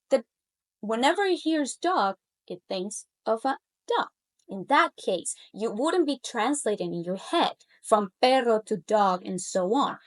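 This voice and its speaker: A woman in her thirties from Colombia, a native Spanish speaker with a Colombian accent, giving an upbeat, persuasive webinar pitch about learning languages. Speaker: Spanish coach. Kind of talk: webinar pitch and personal story.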